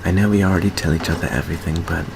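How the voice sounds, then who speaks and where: A man playing a nervous, earnest teenage boy vampire in an intimate ASMR roleplay, speaking haltingly with small stammers. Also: low voice, steady tone, vocal fry, background noise. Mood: nervous, tender.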